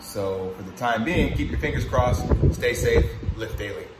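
A man in his thirties talking casually to a phone camera held at arm's length, relaxed and conversational with many fillers. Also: medium pitch, normal speed, heavy background noise. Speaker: fitness vlogger. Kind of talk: casual vlog. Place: outdoors on a city street, then indoors at home. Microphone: phone held at arm's length, wireless earbuds.

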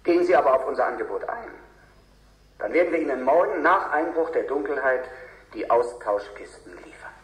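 A man acting as a calculating corporate envoy in a German radio play, speaking with smooth, insinuating deliberation. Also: medium pitch, expressive, brisk, reverberant, natural voice, low-quality recording. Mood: politely menacing. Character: calculating corporate envoy offering a sinister bribe.

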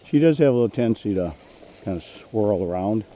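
Hoarse man narrating a field hunt. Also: fairly low pitch, animated, a little fast, warm tone. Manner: plain, matter-of-fact, clears throat often. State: hoarse, losing his voice. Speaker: gun dog trainer. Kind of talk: narrating a hunt while commanding a dog. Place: open grassy field outdoors. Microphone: head-mounted action camera.

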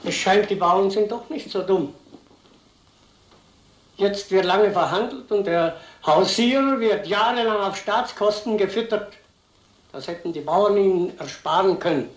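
An officious village schoolmaster in a vintage crime film. His high voice is curt and sarcastic, and the sound is roomy. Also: a little slow. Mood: scornful.

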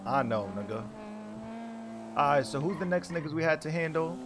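A man's voice: a little high, lively intonation, moderate pace, background noise. A young man bantering casually in character during an online roleplay game, slangy and profane, repeating his phrases.

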